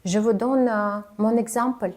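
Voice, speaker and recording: A woman coach giving spoken advice on confident speaking, halting and careful as she talks in a language that is not her first. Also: fairly high pitch, steady tone, darkish tone. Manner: careful, halting delivery of a non-native speaker. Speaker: communication coach.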